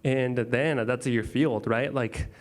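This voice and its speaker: A man's voice: medium pitch, fairly even intonation, slow. Japanese-accented guy on a panel, mid voice, lots of ums, kinda unsure. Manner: hesitant, many fillers and restarts. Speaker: pilot panelist. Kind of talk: panel discussion answer.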